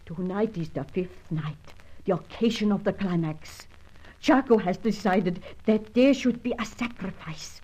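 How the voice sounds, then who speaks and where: An anguished, melodramatic European wife. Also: female voice, mid-range voice, measured pace, a little mellow, husky, low-quality recording. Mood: ominous. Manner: melodramatic, formal, careful phrasing. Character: distressed European wife of a dead man, fearful, confiding. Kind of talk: old radio adventure serial acting.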